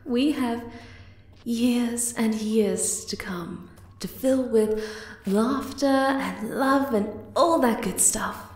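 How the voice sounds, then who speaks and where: A woman voicing a sweet, affectionate young moth-girl in an intimate ASMR romance roleplay, soft, gentle and reassuring. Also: fairly high pitch, extremely slow, roomy sound. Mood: loving, reassuring.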